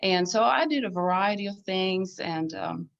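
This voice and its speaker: A woman's voice: medium pitch, expressive, normal speed, dull audio. A woman in her fifties with a Swedish accent, chatting casually as a podcast guest. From Sweden. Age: 50s. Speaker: podcast guest, needlepoint designer. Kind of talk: casual chat.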